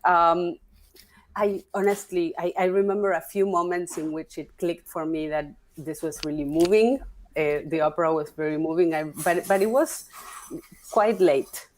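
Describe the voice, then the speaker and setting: A woman, a guest talking through her career in a relaxed interview, pausing and restarting as she thinks. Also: lowish voice. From Argentina. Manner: halting, restarts often, thinking aloud. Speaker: guest, opera singer and stage director. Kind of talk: casual interview conversation.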